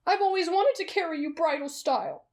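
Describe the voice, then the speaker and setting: A woman voicing an excitable, lovestruck and insecure teenage swordsman boy in an anime roleplay, with an eager, stammering manner. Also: very high-pitched, brisk. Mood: pleading. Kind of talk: anime roleplay ASMR acting.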